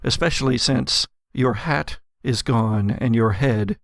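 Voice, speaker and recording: A man hosting and game-mastering an actual-play audio drama, telling the story in a measured, dramatic way. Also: mid-range voice, creaky, no echo, clean studio sound.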